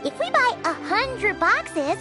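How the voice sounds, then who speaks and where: A tiny fairy sidekick from a video game, with an extremely high, childlike squeak. She is excitable and exclamatory, her pitch bouncing around, with prominent music behind her. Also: slow, heavily acted. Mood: eager, indignant. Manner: speaks of herself in third person, exclamatory. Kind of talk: video game character acting. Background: playful music.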